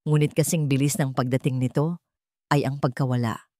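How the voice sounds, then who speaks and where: A woman narrating a dramatic story in a measured, suspenseful way, as scripted audio fiction. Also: rumbling low, steady tone, dark tone, dry recording, studio quality.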